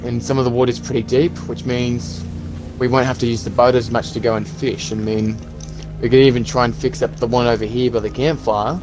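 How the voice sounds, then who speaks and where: A man playing a practical, hopeful zombie apocalypse survivor in an improvised game roleplay, talking in a halting, conversational way. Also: medium pitch, little pitch movement, music in the background, lightly acted, low-quality recording. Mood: practical, planning.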